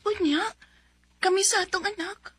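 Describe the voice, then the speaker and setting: Very high-pitched plaintive young Cebuano woman. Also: steady tone, normal speed, fairly bright tone. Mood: worried. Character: young pregnant woman, pleading, emotional lover. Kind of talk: Philippine radio drama acting.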